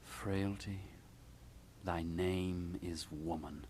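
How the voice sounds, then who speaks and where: A man's voice: sits low, steady tone, extremely slow, a little mellow, a little hiss or hum. A man playing a grieving, bitter young prince in theatrical Shakespearean style, speaking in a mournful, declamatory way. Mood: bitter grief. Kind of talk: theatrical Shakespearean stage acting for puppet show.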